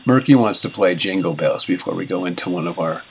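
Deep voiced guy doing rambly jokey radio host chat, lofi sound w noise behind. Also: normal speed. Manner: chuckles often, jokey, hesitant. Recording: dull lo-fi radio sound, clear background noise.

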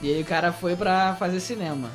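A man's voice: sits high, a little fast, a bit bright, soft background music. Jokey man explaining band history. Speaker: podcast co-host. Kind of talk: casual chat among friends.

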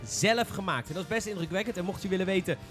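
A man's voice: sits high, quick pace, a bit bright, soft background music. A man hosting a casual gaming podcast in an informal, enthusiastic manner. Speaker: podcast host.

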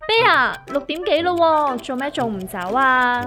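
A woman's voice: high-pitched, lively intonation, normal speed, sharp tone, soft background music. A woman with a Hong Kong Cantonese accent playing a sensible, inquisitive friend in a comedic audio drama, questioning and wry. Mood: curious.

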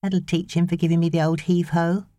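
A woman's voice: on the low side, little pitch movement, fast, mellow, dry recording, voice pushed up, natural voice. A British woman voicing a chirpy, slangy sixteen-year-old babysitter girl in an audiobook. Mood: breezy, boastful.

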